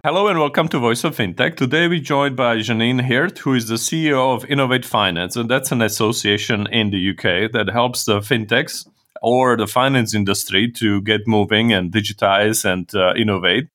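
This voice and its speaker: A man hosting a podcast interview, speaking with hesitant pauses and fillers. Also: mid-range voice, fairly bright tone. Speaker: podcast host.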